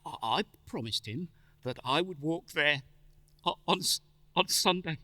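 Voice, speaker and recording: Male actor playing gentle bereaved father, stammering, slow, bright forceful edge, radio drama, tender. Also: fairly high pitch, animated, dry recording, exaggerated voice. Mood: brave, sorrowful. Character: gentle grieving father, poor, tender, holding back tears. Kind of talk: theatrical radio play acting.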